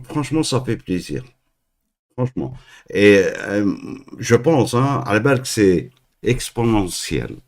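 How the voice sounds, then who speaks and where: Slow, low-voiced hesitant male host. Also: a little mellow. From Algeria. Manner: hesitant, halting, many fillers and restarts. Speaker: host of a live call-in stream. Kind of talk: live call-in talk.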